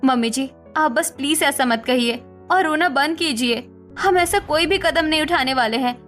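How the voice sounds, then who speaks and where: High-pitched, defiant young daughter-in-law played by a woman. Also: moderate pace, a bit bright, soft background music. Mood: reassuring. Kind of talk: Hindi cartoon family melodrama acting.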